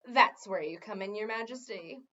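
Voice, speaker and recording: Woman playing a lecturing, smooth male head wizard. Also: medium pitch, moderate pace, bright, forceful tone, lo-fi sound, close to an everyday voice. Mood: vague, coaxing. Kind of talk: children's fantasy audiobook reading.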